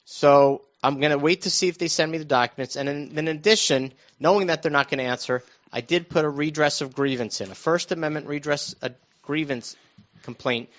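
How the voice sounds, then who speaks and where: A muffled, lo-fi recording of an American man in middle age with a slightly high voice. He chats casually as a show panelist, recounting things in a halting way with repeated restarts. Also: fairly bright tone. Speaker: radio show panelist recounting his own news. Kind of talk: casual conversational storytelling. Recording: dull, lo-fi muffled sound.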